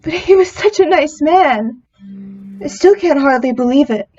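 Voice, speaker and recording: Woman acting a high-voiced, gentle, frightened young lady. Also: animated, a little slow, muffled recording, soft background music. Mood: disbelieving. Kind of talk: old time radio drama acting.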